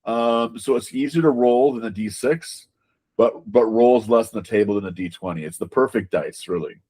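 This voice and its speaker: A man being interviewed as a game designer, talking casually and haltingly, often repeating words as he explains. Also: medium pitch, mellow.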